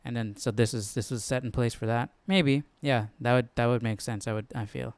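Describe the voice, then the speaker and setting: Male Bible study host, smooth clear voice, dry close sound, informal and searching delivery. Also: mid-range voice, brisk, dry recording. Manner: tentative, often checks with "right?". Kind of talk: casual explanatory commentary, thinking aloud.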